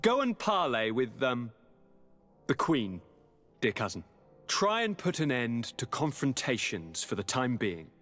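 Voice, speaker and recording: An affable, genteel aristocrat governor from a fantasy game. His courtly, theatrical voice swings widely in pitch, with faint music behind it. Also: huge pitch swings, a little slow, fairly bright tone, heavily acted. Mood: affable, fond. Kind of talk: video game cutscene dialogue.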